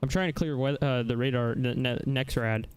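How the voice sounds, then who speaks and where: A man hosting a casual live software demonstration, talking haltingly as he searches for words. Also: mid-range voice, fairly even intonation, clear, resonant tone. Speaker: livestream host. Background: music.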